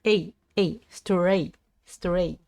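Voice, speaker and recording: A Japanese woman with a Japanese accent, practising language aloud on a casual livestream in a halting, hesitant way. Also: on the low side, fairly even intonation, dark tone, no room sound, studio quality. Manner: hesitant, halting English with self-corrections. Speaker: live streamer learning English. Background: computer keyboard typing and clicking.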